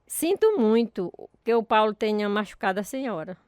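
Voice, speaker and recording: A woman's voice: medium pitch, sing-song, moderate pace, a little mellow, clean tone, no echo, clean studio sound, close to an everyday voice. A woman playing a devout, polite, earnest country mother in a radio drama. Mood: polite, concerned.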